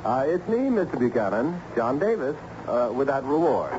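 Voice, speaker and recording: A male actor as an intense young schemer in an old radio play. He talks in smooth, probing patter with a very mobile pitch. The recording sounds dull, with clear background noise. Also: sing-song, normal speed, natural voice. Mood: smooth, earnest. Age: young adult. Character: intense, devious young man posing as researcher. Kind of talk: 1970s radio drama acting.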